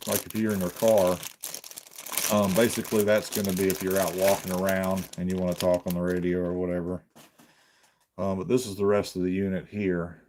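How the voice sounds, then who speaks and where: A man giving casual unboxing commentary, haltingly thinking aloud as he hosts his video. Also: deep voice, a little mellow, a little hiss or hum.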